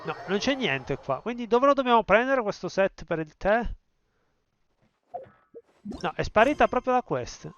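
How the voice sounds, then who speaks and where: A man's voice: high voice, sing-song, moderate pace, long pauses, dull audio. A man from Italy commentating casually over a video game, thinking aloud. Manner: thinking aloud, mumbling, uneven loudness. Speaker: streamer.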